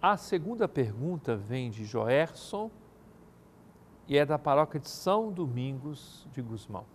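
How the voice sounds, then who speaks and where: Older male cleric, formal and measured, seated at a studio desk, faint background hiss. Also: mid-range voice, big pitch swings. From Brazil. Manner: measured, formal pastoral address. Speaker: archbishop answering viewers' questions. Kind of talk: televised pastoral talk. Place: TV studio set. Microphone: studio mic, seated at desk.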